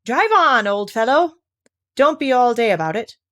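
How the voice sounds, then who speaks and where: A woman's voice: a little high, animated, moderate pace, a bit bright, pitched above the performer's own voice. A woman voicing a brusque, bossy male gryphon from a storybook, with blunt, slangy exclamations. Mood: impatient, bossy. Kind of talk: storybook character voice.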